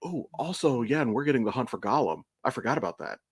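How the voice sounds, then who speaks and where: A man talks as a podcast co-host in a medium-pitched voice. He lays out his fan opinions in casual, sweary chat, with very little room sound around him. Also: expressive, quick pace, husky, no room sound, studio quality. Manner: sweary, explanatory fan talk. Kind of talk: casual chat, opinionated pop-culture commentary.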